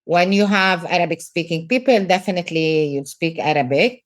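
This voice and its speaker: A woman's voice: fairly low pitch, fairly quick. A middle-aged woman from Lebanon with a Lebanese accent, a business coach talking earnestly in a podcast interview and repeating words for emphasis.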